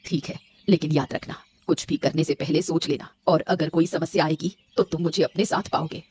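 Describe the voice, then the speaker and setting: A woman's voice: low voice, steady tone, a little fast, darkish tone, breathy edge. A woman voicing a stern, disapproving mother-in-law in a Hindi cartoon, speaking firmly and reproachfully. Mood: reluctant. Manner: firm, reproachful. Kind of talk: Hindi moral-story cartoon voice acting. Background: music.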